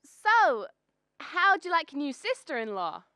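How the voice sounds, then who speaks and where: A young woman playing a brisk, matchmaking middle-aged mother in a radio drama, coaxing and gently scolding. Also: sits high, sing-song, dry recording, studio quality, full character voice. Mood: coaxing, sympathetic. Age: young adult.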